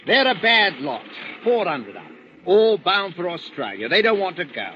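An actor playing a coarse, sneering ship's mate, in an old radio drama. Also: male voice, high voice, very animated, normal speed, bright, forceful tone, full character voice. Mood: menacing. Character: brutal cockney bosun, middle-aged man, sneering. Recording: muffled old radio recording with faint hiss.

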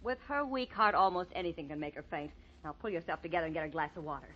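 An actress in 1940s radio style playing a brisk, wry woman in a mystery show. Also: deep voice, lightly acted. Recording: muffled, noisy old radio recording.

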